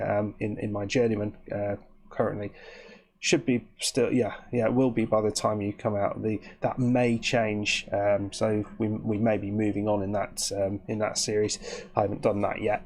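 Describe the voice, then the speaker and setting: Brit guy rambling over his game, stuffed up w hay fever, raspy croaky low voice. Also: darkish tone. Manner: rambling, chatty, with frequent restarts. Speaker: host. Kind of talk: casual gameplay commentary.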